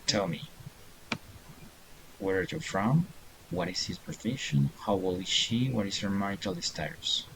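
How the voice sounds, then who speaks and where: A man's voice: fairly low pitch, big pitch swings, unhurried, gravelly, long pauses, background noise. A middle-aged man teaching a beginner English class online, patiently encouraging students and reading answers aloud.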